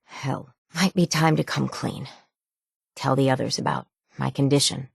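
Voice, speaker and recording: Game casting: stoic salty sailor woman, low register, creaky edge, folksy and sardonic. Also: measured pace, no room sound, studio quality, heavily acted. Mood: weary, resigned. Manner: folksy, salty, plainspoken rural phrasing. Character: gruff, world-weary island sailor woman, stoic and sardonic. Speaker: video game voice actor. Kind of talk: video game character dialogue.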